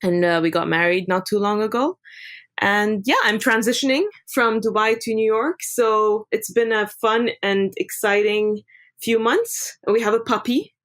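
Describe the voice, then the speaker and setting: A woman's voice: mid-range voice, steady tone, a little slow, fairly bright tone. A woman from Lebanon, a relationship therapist, talking through couples' patterns in a casual podcast interview. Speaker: guest therapist.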